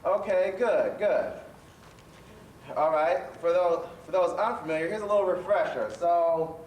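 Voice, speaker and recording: High-voiced man as a jovial storytelling professor. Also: measured pace, darkish tone. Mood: genial. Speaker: host. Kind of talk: children's stage show storytelling. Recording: roomy, distant stage recording with faint noise.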